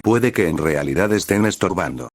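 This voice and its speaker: A man narrates a fan fiction story in a low, deep voice. His reading is even and measured, like a storybook told aloud. The sound is dry and close, with no room around it. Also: no room sound.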